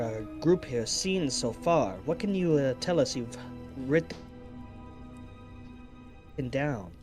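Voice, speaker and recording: A man's voice: medium pitch, darkish tone. A man playing a courteous, theatrical adventurer with feigned innocence in a tabletop game. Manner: florid, theatrical, polite.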